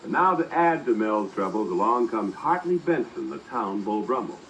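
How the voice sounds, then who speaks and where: A man's voice: medium pitch, lively intonation, normal speed, fairly bright tone, a little hiss or hum, rough recording. A man narrating a script as the announcer of a vintage radio comedy show. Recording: old radio recording.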